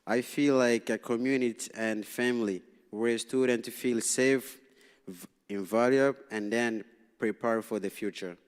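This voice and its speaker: A young man from Tanzania whose first language is Swahili, reading a prepared speech as a student representative at a public meeting, halting and nervous, with frequent restarts. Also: lowish voice, clear, resonant tone. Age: young adult. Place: large city hall meeting room. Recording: long reverb in a council chamber.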